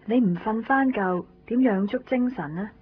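An actress playing a brisk, firm female hospital nurse in a radio drama. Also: a little high, slightly rough. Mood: firm, scolding. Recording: tinny band-limited 1990s radio.

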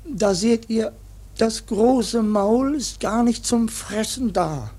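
A very old ship's cook for a radio play. He is stubborn and pious in a rough-edged way, cursing as he sermonizes. The voice is husky and a little high for a man, and he takes his time over the words. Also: takes it slowly, warm tone. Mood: preachy, exasperated. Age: older. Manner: grumbling mock-preacher, swears constantly. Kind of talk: German radio play acting.

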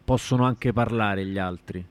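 A man's voice: on the low side, normal speed, no room sound. A man hosting a radio show, interviewing in an informal, encouraging and chatty way. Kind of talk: casual interview chat. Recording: clear background noise with clicks.

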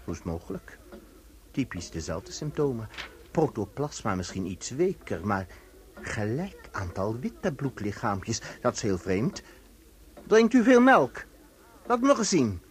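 An actor playing an officious, erratic inspector of lost souls in an absurdist radio play, lurching between soothing and bullying. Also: male voice, medium pitch, very animated, a little slow. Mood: hectoring, puzzled.

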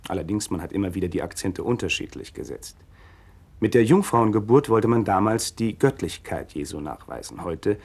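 Radio drama teacher of religion: learned, measured, patient explainer, low and husky. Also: male voice, darkish tone. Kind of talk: German radio play dialogue, didactic.

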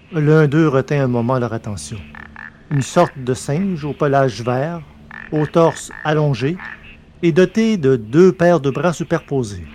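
A man reading scripted narration for a science-fiction audio serial in a measured, literary way. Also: a little high, mellow, a little hiss or hum.

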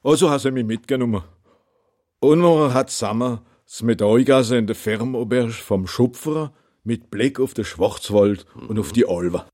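Dark-voiced Alsatian man telling anecdotes. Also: medium pitch, moderate pace. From Munster valley, Alsace. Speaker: interview guest. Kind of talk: anecdotal storytelling.

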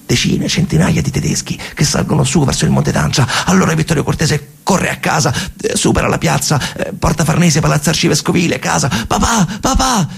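A man narrating a radio theatre monologue dramatically, in colloquial, short phrases with repetitions and a husky voice. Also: on the high side, steady tone, a little fast, hardly any pauses. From Italy. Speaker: storyteller actor.

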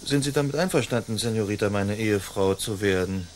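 Radio play suitor , male actor: lowish voice, young aristocrat, formal, unromantic, languid, light background noise. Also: fairly quick, a little mellow, lightly acted. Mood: polite, languid. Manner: stiff, formal, courteous.

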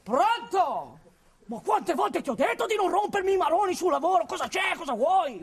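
Woman acting high-pitched, blustering building foreman. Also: sing-song, normal speed, soft background music, exaggerated voice. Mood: irritated. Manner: agitated, rambling, self-interrupting. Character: blustering, defensive, foul-mouthed male building-site foreman. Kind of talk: theatrical radio drama monologue. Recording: echoey room, distant mic.

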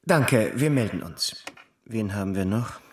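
A man's voice: fairly low pitch, lively intonation, unhurried, a little hiss or hum. An actor playing an impatient, dismissive man running an audition in a satirical radio play, brusque and bossy. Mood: impatient, curt.

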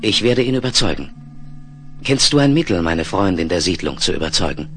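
Low, animated space explorer. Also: male voice, a little fast. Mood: skeptical, excited. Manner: theatrical, dryly ironic. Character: confident, wry male space explorer. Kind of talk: 1970s German radio play acting.

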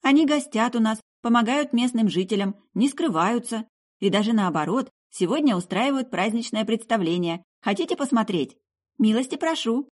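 A woman voicing an odd, chatty young sorceress in an audiobook, breezy and quick to take offence. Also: a little high, animated, voice pushed up. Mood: indignant. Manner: breezy, indignant, talkative.

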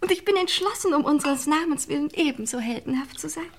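An earnest young lady of the manor in a radio drama. Her voice is high, her phrasing solemn and stilted with an eager streak. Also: lively intonation, fast, slight background noise. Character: earnest, high-minded young aristocratic niece. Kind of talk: German radio crime play acting.

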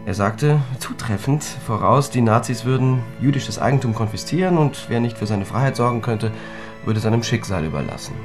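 An actor playing a sober scholarly man in a German radio drama, recounting a tragic history deliberately and precisely. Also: mid-range voice, expressive, lightly acted. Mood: grave, explanatory. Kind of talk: German radio drama dialogue, explanatory storytelling. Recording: studio radio play with music.

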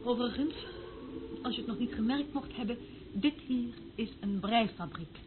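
An actress in a radio play playing a stern, secretive senior worker who talks curtly and disapprovingly, like a schoolmistress. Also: female voice, on the high side, moderate pace, noisy background, close to an everyday voice. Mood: curt, commanding. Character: stern, fault-finding senior woman worker, secretive and bossy. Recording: tinny narrow-band old radio play recording. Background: loud music.

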